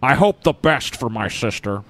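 Guy on a podcast reading some post out loud, normal mid pitch. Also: animated, moderate pace, a little hiss or hum. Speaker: podcast co-host. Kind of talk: reading a written post aloud.

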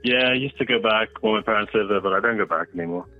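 A laid-back caller in his thirties from Singapore, slangy and quick to laugh, with a fairly low voice. He comes through a tinny, dry phone line with faint music behind him. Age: 30s. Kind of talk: casual chat by phone.

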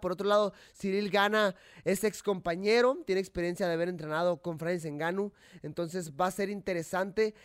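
A young man hosting a casual fight-sports talk show, animated and chatty, often restarting his sentences. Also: sits high, clear, resonant tone. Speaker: host.

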